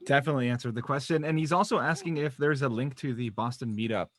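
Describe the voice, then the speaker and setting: A man with an average-pitched voice moderates a workshop. He speaks very quickly and casually, chipping in briefly to relay questions. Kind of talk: casual short interjections.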